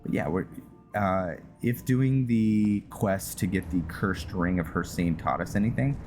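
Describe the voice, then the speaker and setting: A man's voice: low-pitched, unhurried, a little mellow, faint music behind. A man casually commenting on his roleplay gameplay, musing aloud and rambling. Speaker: gaming channel host.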